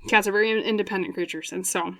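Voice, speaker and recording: A woman's voice: mid-range voice, fairly even intonation, pushed and bright. A young woman casually talking through a tarot reading, chatty and full of fillers, her voice getting a bit scratchy. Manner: chatty, thinks aloud with many fillers and quick laughs. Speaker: tarot reader.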